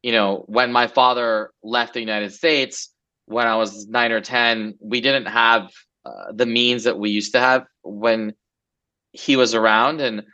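A man in his forties from Los Angeles talks through his story as an interview guest, looping and relaxed with plenty of fillers. His voice is bright and forceful at a middling pitch. The recording is somewhat dull and lo-fi. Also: a little slow. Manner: relaxed, rambling, many fillers. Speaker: guest entrepreneur. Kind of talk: casual interview chat.

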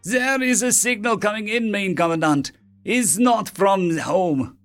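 A man's voice: high-pitched, a little slow, a bit bright, faint music behind, voice pushed up. A man voicing an eager otter engineer with a German accent, chirpy but formal, in an audio story. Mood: alert. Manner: chirpy, formal, clipped phrasing. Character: eager male otter engineer with foreign-tinged speech.

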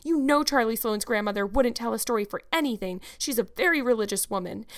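A woman's voice: fairly high pitch, a bit bright. A woman voicing an eager, imaginative young girl in a storybook, talking in an effusive, dramatic way.

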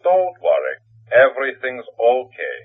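An actor playing an obliging, cold-blooded hired killer in a 1940s radio thriller, matter-of-fact and reassuring. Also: male voice, mid-range voice, huge pitch swings, measured pace, noisy background. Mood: coolly obliging. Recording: narrow, tinny 1940s radio phone line.

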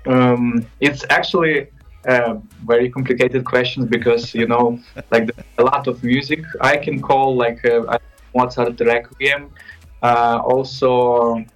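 A man, a band member, speaking in halting English with many pauses and fillers. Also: medium pitch, level tone, faint music behind, rough recording.

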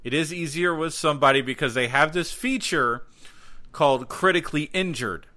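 A man hosting a podcast, chatting casually about gaming news in an excitable, slangy way, repeating words for emphasis. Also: fairly high pitch, moderate pace. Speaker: podcast host and game streamer.

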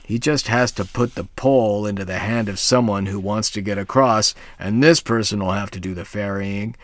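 A man's voice: fairly low pitch, moderate pace, dry recording, natural voice. A man doing a growling, short-tempered devil. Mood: scornful.

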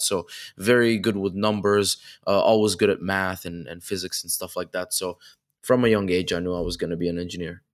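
A young man from Egypt and Dubai, an interview guest telling his story, energetic and chatty with many fillers. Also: deep voice. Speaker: guest, online seller. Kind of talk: casual interview chat.

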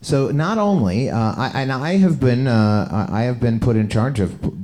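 A man's voice: mid-range voice, expressive, normal speed, darkish tone. A man co-hosting a podcast in casual chat, joking, teasing and swearing freely. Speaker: podcast co-host.

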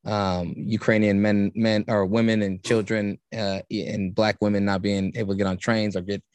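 A man with a lowish voice talks in loose, rambling podcast chat, stopping and restarting his sentences often. The sound is dry and close, with no room around it. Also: flat delivery, clean tone, no room sound. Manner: rambling, halting, many restarts.

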